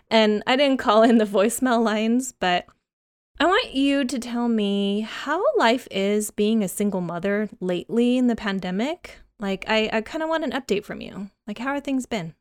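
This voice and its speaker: A woman podcast host with a highish, very animated voice. She chats and asks questions in a giggly, informal, expressive way. The sound is dry and close, with hardly any room on it. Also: smooth, no echo. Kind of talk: casual chatty interview.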